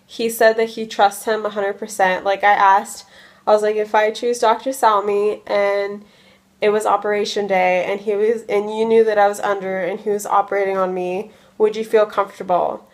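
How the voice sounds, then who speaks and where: A woman's voice: mid-range voice, little pitch movement, normal speed. A woman in her early twenties chatting casually in a vlog, drowsy from medication, rambling with lots of likes and ums. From United States. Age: 20s. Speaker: vlogger.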